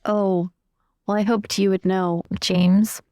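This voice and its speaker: A woman's voice: medium pitch, little pitch movement, slow pace, warm tone, clear, resonant tone, no echo, studio quality. A woman improvising in-character conversation as a tabletop game player, polite and a little hesitant. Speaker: player in a tabletop role-playing podcast.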